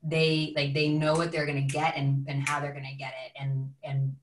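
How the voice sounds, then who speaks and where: A woman's voice: low voice, completely flat delivery, moderate pace, slight background noise. A woman guest on a podcast, a teacher, telling stories in a rambling way full of "like" and acted-out quotes. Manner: rambling, lots of "like", acts out quotes. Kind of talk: casual storytelling chat. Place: home room, video call. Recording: echoey, distant video call audio.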